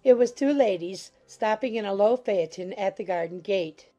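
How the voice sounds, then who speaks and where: A woman's voice: mid-range voice, moderate pace, mellow, soft background music. A woman narrating a classic novel as a scripted, literary audiobook reading.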